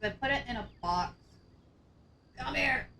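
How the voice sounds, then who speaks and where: A young woman with a sore throat chatting casually and excitably on a live stream, scatterbrained and full of gasps. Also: high voice, a little slow, gravelly, slight background noise. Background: background music.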